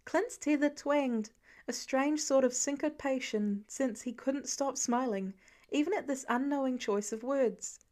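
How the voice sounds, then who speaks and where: A woman's voice: high voice, a little slow, darkish tone. A woman narrator reading a scripted piece of fiction as an audiobook.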